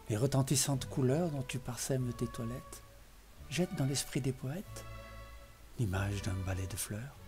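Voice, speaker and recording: A man gives a dramatic, literary poetry reading, declaiming phrase by phrase with expressive emphasis. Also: medium pitch. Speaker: poetry reader. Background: music behind the voice.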